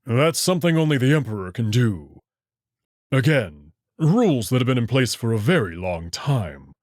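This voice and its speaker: A man playing a proud, protective furry alien prince in a visual novel, speaking in an assured, measured way with formal phrasing. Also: medium pitch, sing-song, darkish tone, dry recording, studio quality. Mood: assured, explanatory. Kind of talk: visual novel character acting.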